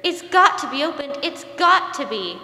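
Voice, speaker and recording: A young woman playing a ghostly young girl in a dramatic, drawn-out 1940s radio drama style. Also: sits high, sing-song, pushed and bright, slight background noise, heavily acted. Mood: urgent, pleading. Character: ghostly, urgent young girl long locked in a chest. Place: stage of a school theater. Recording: echoey reverberant hall.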